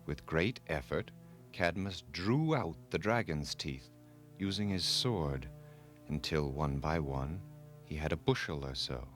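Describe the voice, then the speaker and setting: A deep-voiced man tells a children's myth from a script. His delivery is formal and measured with dramatic emphasis. Soft music plays behind him. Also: expressive. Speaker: storyteller.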